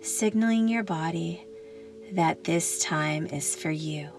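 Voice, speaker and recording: A woman leading a guided meditation in a gentle, soothing, unhurried manner, leaving long pauses between phrases. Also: on the low side, vocal fry, music in the background.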